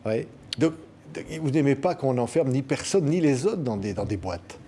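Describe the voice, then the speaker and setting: Male TV host, 50s, mid-pitched, lively prompting, faint ambience. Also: moderate pace, slight background noise. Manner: lively, affirming, prompting questions. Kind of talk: TV studio interview. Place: TV news studio. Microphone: desk microphone.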